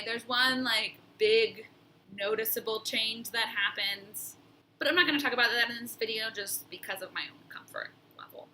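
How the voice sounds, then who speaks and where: A woman's voice: mid-range voice, bright, forceful tone, slight background noise, low-quality recording. A young woman with a nasal voice, talking casually and reflectively in a personal vlog, with frequent fillers and restarts. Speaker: vlogger.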